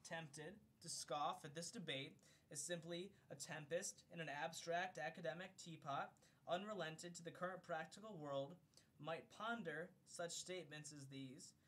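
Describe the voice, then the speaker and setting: A slightly drunk man reading an economics text aloud in an amateur way, declamatory on the page and loose and profane in casual asides. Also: a bit bright. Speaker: amateur reader of a bedtime recording for a friend. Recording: homemade recording with low rumbling noise.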